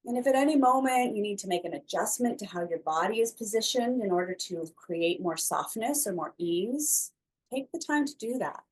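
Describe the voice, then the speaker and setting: A woman instructor leading a slow guided breathing meditation, speaking softly and soothingly with long pauses between phrases. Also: medium pitch, expressive, breathy edge, low-quality recording.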